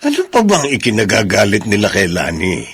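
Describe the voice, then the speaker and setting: A man's voice: lowish voice, wildly swooping pitch, fairly quick, a bit bright, breathy edge, heavily acted. A Filipino man playing a firm, fair-minded older male relative in a radio drama, reasoning critically. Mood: disapproving.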